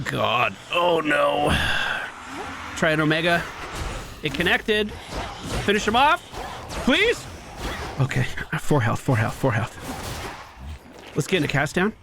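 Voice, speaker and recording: A man's voice: on the high side, gravelly, background noise. A man streaming a video game, giving casual play-by-play commentary on his own moves with asides to viewers. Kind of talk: live gameplay commentary, casual.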